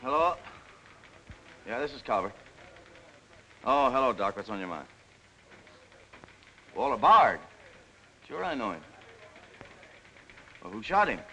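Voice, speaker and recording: Swooping, slick political schemer. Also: male voice, medium pitch, very animated, slow, pauses often, sharp tone, full character voice. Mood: casual, curious. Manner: glib, insinuating, falsely chummy. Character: slick, scheming male political operator, smug and wheedling. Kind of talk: 1940s crime film acting. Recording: noisy old 1940s film soundtrack.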